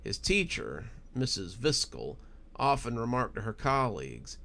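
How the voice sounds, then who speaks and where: A man narrating a horror story in a dramatic, expressive and deliberate storytelling style. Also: medium pitch, gravelly.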